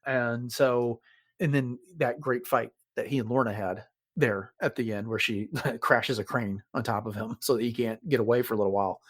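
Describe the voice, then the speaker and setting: A man's voice: mid-range voice, steady tone, normal speed. A man hosting a podcast, casually recapping a story and thinking aloud as he talks. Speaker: podcast host.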